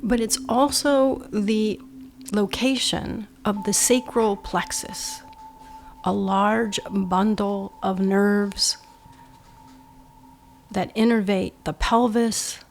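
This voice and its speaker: A woman guiding a meditation with measured instructions, in short phrases that often end hushed. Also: medium pitch, creaky, a little hiss or hum. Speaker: meditation guide. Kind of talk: slow guided meditation, instructional.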